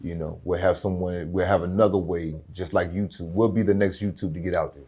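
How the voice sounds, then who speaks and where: A raspy-voiced rapper from Virginia in his thirties, talking casually and with drive as an interview guest. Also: low voice, a little fast. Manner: aggressive, energetic delivery.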